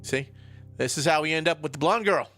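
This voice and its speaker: A man streaming a visual novel, reading the text aloud with goofy, profane, expressive asides over music. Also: fairly high pitch, brisk, no room sound.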